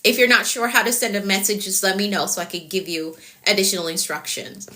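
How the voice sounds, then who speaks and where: Bright-voiced Jamaican woman coach. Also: mid-range voice, fairly quick. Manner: repeats key points, addresses viewers by name. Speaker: productivity coach. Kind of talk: live-stream coaching talk to viewers.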